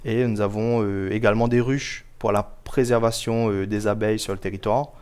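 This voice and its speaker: A man with a fairly low, smooth and clear voice answers questions as an interview guest. He explains things formally and carefully, with measured phrasing and frequent small pauses. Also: fairly even intonation, darkish tone. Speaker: guest, local government project officer.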